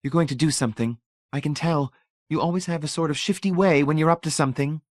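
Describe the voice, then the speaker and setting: A man's voice: on the high side, fast, no room sound, pitched above the performer's own voice, natural voice. A man voicing an anxious, devoted young woman who pleads earnestly in a raised voice. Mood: suspicious.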